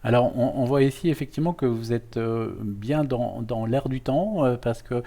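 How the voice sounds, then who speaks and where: A man with a mellow, soft-edged voice hosts an educational interview. He speaks conversationally at a middle pitch, often restarting and feeling his way through long, loosely built sentences. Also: normal speed. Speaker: host, university professor interviewing a guest.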